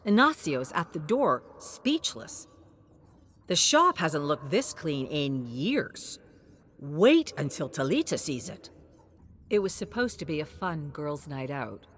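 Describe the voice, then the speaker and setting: A woman reading scripted storybook narration in a measured, expressive storytelling manner. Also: sits low, slight background noise. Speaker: narrator of character lore.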